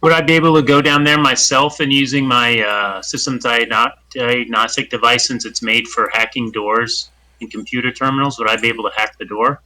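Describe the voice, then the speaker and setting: A man's voice: medium pitch, steady tone, brisk, sharp tone, rough recording. A man playing in a tabletop role-playing game, politely asking careful questions about what his character can do. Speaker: player. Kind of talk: casual tabletop game chat.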